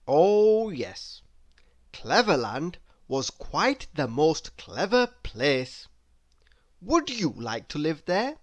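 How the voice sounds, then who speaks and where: A woman reading a children's story aloud as an expressive narrator who speaks directly to young listeners. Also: sits low, drawn-out words. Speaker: storybook reader.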